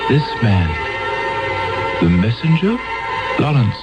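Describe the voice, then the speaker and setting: An actor playing a stern, commanding alien overseer in a 1970s radio drama, intoning slowly and solemnly. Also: male voice, medium pitch, sing-song, loud music behind the voice.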